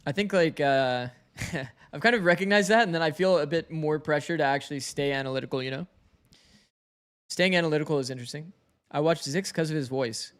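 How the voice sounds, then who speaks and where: Male streamer, slightly raspy, a touch high, chatty analyst who thinks out loud. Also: a little fast. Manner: talkative, thinks aloud, chats with viewers. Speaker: streamer co-streaming an esports match. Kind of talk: casual match analysis.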